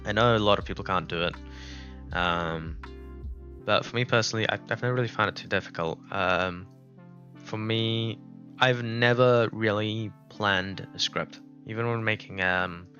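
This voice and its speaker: A man's voice: lowish voice, pushed and bright, dull audio. A man from South Africa with a South African accent, whose first language is Afrikaans, chatting casually and thinking aloud on a live game stream. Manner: rambling, thinking aloud, unscripted. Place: indoors at a laptop. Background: music playing.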